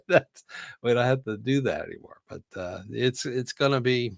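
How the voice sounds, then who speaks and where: A man's voice: mid-range voice, lively intonation, moderate pace, gravelly, a bit bright, dry recording. Middle-aged guy hosting a live chat, just getting over a cold, rambly, laughs a lot, dry sound. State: recovering from a cold. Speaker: host.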